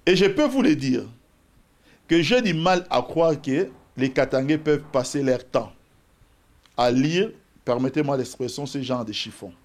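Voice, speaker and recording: A man's voice: medium pitch, measured pace. A man from the Congo with a Congolese accent, a political guest arguing his case in a debate and quoting scripture. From DR Congo, Bas-Congo. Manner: animated, argumentative, cites scripture.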